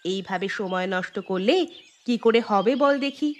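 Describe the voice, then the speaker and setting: A woman voicing a caring but mildly strict village schoolteacher in a cartoon, questioning and gently chiding. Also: mid-range voice, normal speed, a little hiss or hum, close to an everyday voice. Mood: concerned, chiding. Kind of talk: Bengali cartoon dubbing.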